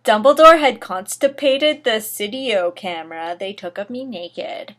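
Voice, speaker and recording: A woman's voice: mid-range voice, a little slow, fairly bright tone. A woman giving a theatrical dramatic reading of a fan fiction story, with emphatic narration and dry asides.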